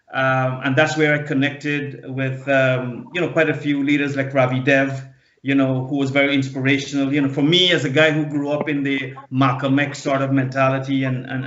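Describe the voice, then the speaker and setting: A man's voice: medium pitch, fairly bright tone. Earnest man in his fifties, echoey dull audio. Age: 50s. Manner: halting, earnest, often repeats and restarts words. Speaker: panel guest. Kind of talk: personal recollection in a panel discussion.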